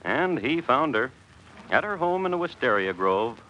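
A man's voice: mid-range voice, sing-song, moderate pace, sharp tone, a little hiss or hum. A man playing a wry, genteel storyteller, narrating an old radio drama in droll, literary phrasing. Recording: dull vintage radio recording.

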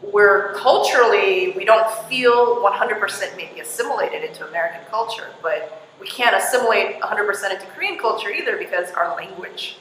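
A woman's voice: medium pitch, expressive, a little fast, fairly bright tone, slight background noise. Chatty woman interviewer in an echoey hall. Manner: chatty, filler-heavy with like and you know. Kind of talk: casual interview chat. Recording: echoey hall with faint ambience.

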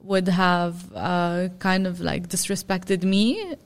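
A woman guest on a podcast interview, speaking thoughtfully with hesitant pauses and fillers. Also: fairly low pitch, fairly even intonation, clear, resonant tone. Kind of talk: casual interview chat.

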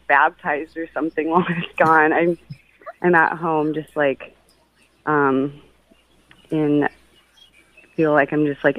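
Slow, halting woman on a phone-like line. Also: low-pitched. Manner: halting, searching for words. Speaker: guest giving feedback on an astrology reading. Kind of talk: personal, reflective casual talk. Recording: phone-like call with some echo.

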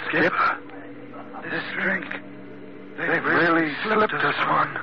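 An actor playing a loyal, grumbling first mate in an old-time radio drama. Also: male voice, on the high side, takes it slowly, noisy background. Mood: groggy, bewildered. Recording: muffled old radio recording.

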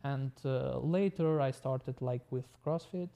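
Male podcast guest, Albanian accent, relaxed mellow tone, creaky delivery, conversational and halting. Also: medium pitch. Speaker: podcast guest, tech business owner. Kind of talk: casual conversational interview.

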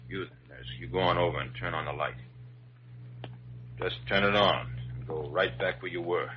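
A man's voice: deep voice, moderate pace, slight background noise, close to an everyday voice. A male American actor playing a menacing, terse gangster gunman in a radio crime drama, giving curt, slangy orders. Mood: suspicious, curt. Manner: curt, tough slangy commands. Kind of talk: 1940s-style radio crime acting. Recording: dull vintage radio recording.

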